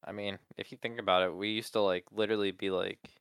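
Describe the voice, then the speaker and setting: A man's voice: on the low side, little pitch movement, quick pace, a bit bright, clean tone, no room sound, studio quality. A man co-hosting a podcast in laid-back chat, explaining tech with lots of filler words. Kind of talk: casual chat.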